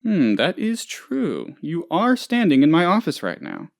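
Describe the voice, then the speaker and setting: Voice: medium pitch, huge pitch swings, a little slow. An actor playing a dim, anxious, childishly self-important office boss in a comedic video game, rambling hesitantly and turning whiny when upset. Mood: sheepish, deadpan. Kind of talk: comedic game dialogue, voice lines.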